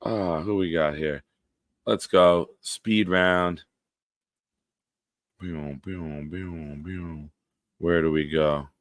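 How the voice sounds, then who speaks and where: A man in his forties hosting a live-stream show, reading viewer comments aloud and bantering bluntly with a smooth, deep voice. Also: steady tone, extremely slow, pauses often, no room sound, studio quality. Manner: banters with chat, blunt and profane.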